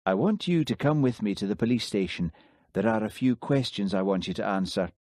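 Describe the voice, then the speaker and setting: A dogged Highland village policeman, as a male narrator puts him on, speaking in a lowish voice with a Scottish Highland accent. He talks in a dry, sarcastic way, and the close, dry recording suits this audiobook. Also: animated, a little fast, darkish tone, no room sound, lightly acted. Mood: stern.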